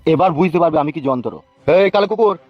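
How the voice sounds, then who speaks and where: A man's voice: high voice, animated, racing, no echo, faint music behind. A man playing a bullying, foul-mouthed gangster boss in a comedy cartoon, swaggering and menacing, in dull lo-fi sound. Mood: swaggering, mocking.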